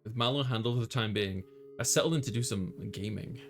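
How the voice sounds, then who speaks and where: A man's voice: medium pitch, fast talker. Man reading a game story over music. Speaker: gaming streamer narrating visual novel. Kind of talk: read-aloud story narration with casual chat. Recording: clear music behind the voice.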